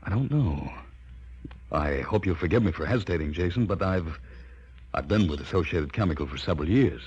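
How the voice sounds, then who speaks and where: A man's voice: sits low, expressive, a little fast, a little mellow, husky, exaggerated voice. An actor playing an earnest, polite research chemist in his thirties, in 1950s radio drama style. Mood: hesitant. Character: earnest male research chemist, respectful former student. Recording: dull old 1950s radio recording.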